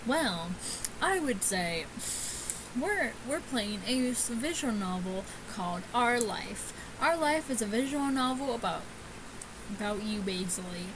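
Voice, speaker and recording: High-voiced woman doing casual gaming commentary. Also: lively intonation, unhurried, background noise. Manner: chatty, stumbling when reading aloud. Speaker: gaming video host. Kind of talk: casual let's-play commentary. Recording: music behind the voice.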